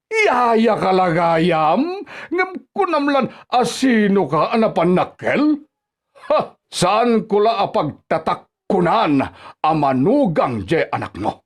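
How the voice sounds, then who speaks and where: An actor with an Ilocano accent playing a bullying, scornful middle-aged father-in-law in a radio drama, mocking and quick to anger. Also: high-pitched, full character voice. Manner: mocking, haranguing. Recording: background music under radio drama.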